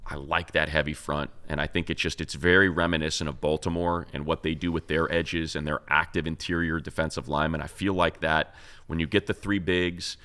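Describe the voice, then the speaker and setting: A man's voice: a bass voice, flat delivery, brisk, pushed and bright, smooth. A man hosting a sports show, giving casual, emphatic football analysis in conversation.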